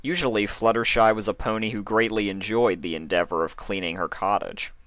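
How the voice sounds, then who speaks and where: A man reading a scripted story as narrator, with dramatic, deliberate delivery. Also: on the low side, steady tone, a bit bright, dry recording. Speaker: fan fiction reader and narrator.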